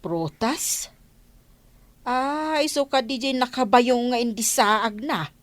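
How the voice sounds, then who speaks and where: A woman's voice: on the high side, slow pace, no room sound. A woman with an Ilocano accent playing a wary, gossipy village shopkeeper in a radio comedy drama. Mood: regretful.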